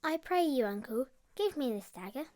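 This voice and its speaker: A woman's voice: sits high, expressive, normal speed, dark tone. A woman playing a forward, uneasy little boy duke in Shakespearean audio drama. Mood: pert.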